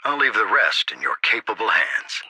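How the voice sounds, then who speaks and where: Game mayor: measured, diplomatic, formal, deep voice with a rough husky edge. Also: male voice, sing-song, pushed and bright, dry recording, exaggerated voice. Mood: earnest, grateful. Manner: formal, deliberate. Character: authoritative, earnest male city mayor, measured and diplomatic. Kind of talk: video game voice acting.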